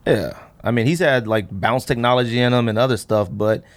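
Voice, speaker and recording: A man in his thirties hosting a casual chat, rambling and thinking out loud in slangy talk. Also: medium pitch, fairly quick. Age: 30s. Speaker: podcast host. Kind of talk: casual chat about sneakers.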